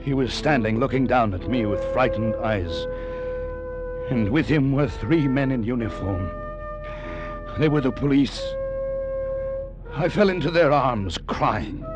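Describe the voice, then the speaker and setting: An actor as a desperate mine survivor, swooping voice. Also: male voice, medium pitch, animated, a little slow, long pauses, natural voice. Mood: haunted, grim. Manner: dramatic, breathless first-person storytelling. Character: desperate man narrating his escape from a flooding mine. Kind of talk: 1940s radio drama acting. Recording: old radio recording, loud dramatic music.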